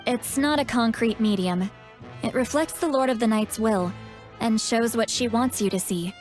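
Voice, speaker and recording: High-voiced, earnest young woman, acted. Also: moderate pace, lightly acted. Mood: explaining. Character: earnest, hopeful young woman in a fantasy game. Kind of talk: scripted video game voice acting. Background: background music.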